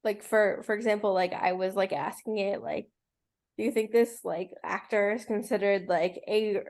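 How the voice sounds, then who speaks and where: Mid-pitched woman chatting in an online class. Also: steady tone, normal speed, a little mellow. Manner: filler-heavy, peppered with "like". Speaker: student. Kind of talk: casual class discussion. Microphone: video call mic.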